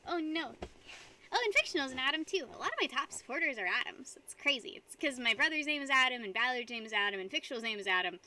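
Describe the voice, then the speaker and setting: Young woman, high voice, bright tone, giggly, rambling chat, live streamer. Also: sing-song, a little fast, a little hiss or hum.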